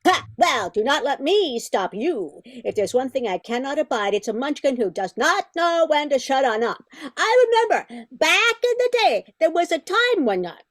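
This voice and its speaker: A woman's voice: sits high, very animated, normal speed, hardly any pauses, fairly bright tone. A woman playing a chatty, meddling, folksy interrupter in a comic radio sponsor skit, teasing and rambling. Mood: huffy, teasing.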